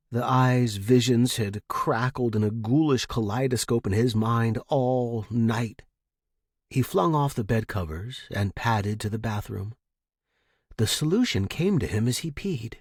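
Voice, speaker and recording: A man reading a scripted story as a narrator, with a dramatic, expressive and suspenseful delivery. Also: mid-range voice, slightly rough, dry recording, clean studio sound. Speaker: audiobook-style fiction narrator.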